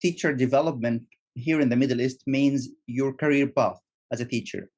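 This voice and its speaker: Male guest speaking second-language English, halting, repeats words. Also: medium pitch, a little mellow. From Egypt. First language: Arabic. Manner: repeats words, restarts often. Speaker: guest, teacher trainer. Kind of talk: casual interview.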